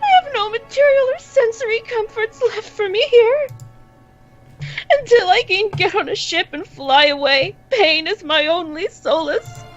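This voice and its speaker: A woman's voice: very high voice, lo-fi sound, faint music behind. A woman playing a haughty, sinister and lonely alien noblewoman in a narrated story, speaking in a formal, theatrical, self-pitying manner. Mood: anguished, resolute. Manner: slow, theatrical, formal speech. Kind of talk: dramatic character acting in narrated story.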